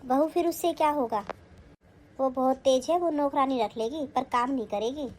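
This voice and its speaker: A woman's voice: sits high, moderate pace, darkish tone. A woman acting a stern older mother-in-law who scolds and commands in a family skit.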